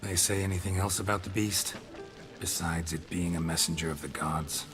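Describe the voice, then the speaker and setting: An actor playing a world-weary monster hunter in a fantasy game, with clipped, dry, deadpan delivery. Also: male voice, sits low, moderate pace, music in the background, lightly acted. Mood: dry, curious. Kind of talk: fantasy video game dialogue.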